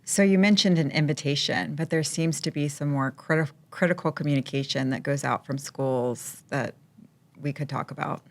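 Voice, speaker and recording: A woman's voice: low-pitched, fairly even intonation, moderate pace. A woman co-hosting a casual professional discussion, offering brief agreeable prompts.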